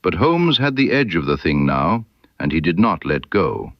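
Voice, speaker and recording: A man narrating a scripted literary mystery story in a measured, deliberate storytelling manner. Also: low-pitched, big pitch swings, no room sound, highs cut off. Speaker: audiobook narrator.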